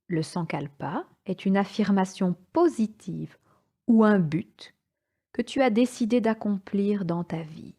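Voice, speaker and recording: A woman guides a yoga nidra relaxation as an instructor. Her voice is dark and mellow, at a medium pitch for a woman. She speaks very slowly and soothingly, setting short phrases apart with calm pauses. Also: breathy edge.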